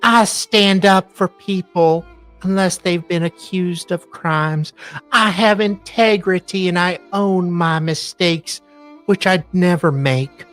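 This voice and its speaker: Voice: medium pitch, slow, fairly bright tone, faint music behind, pitched above the performer's own voice. A performer voicing an aggrieved, self-righteous woman in a melodramatic, pompous dramatic reading. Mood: pompous indignation.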